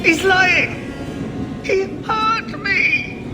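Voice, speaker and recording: A woman's voice: very high-pitched, drawn-out words, over loud music, full character voice. An actress playing a frightened, accusing young captive woman in a video game. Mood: panicked. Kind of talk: dramatic video game acting.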